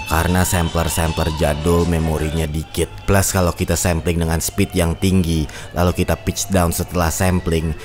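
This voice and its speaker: A man, casually narrating how he makes a beat, laid-back and step by step. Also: sits low. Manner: laid-back, explains his process step by step. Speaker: beatmaker. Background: music.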